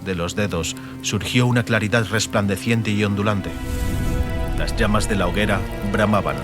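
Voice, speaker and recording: A man narrating a fantasy audiobook dramatically, in measured, emphatic phrases. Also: on the low side. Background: music and atmospheric sound effects.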